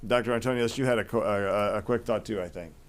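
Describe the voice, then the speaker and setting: Deep, smooth-voiced man hosting a panel. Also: fast talker. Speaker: host and physician moderating a briefing. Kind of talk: live panel moderation.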